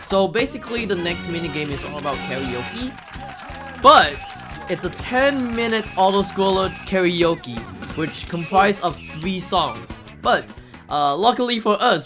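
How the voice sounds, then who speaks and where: Very animated speedrun commentator over loud game music. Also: medium pitch. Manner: excitable, exclaims and play-acts reactions. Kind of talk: casual speedrun commentary with playful outbursts.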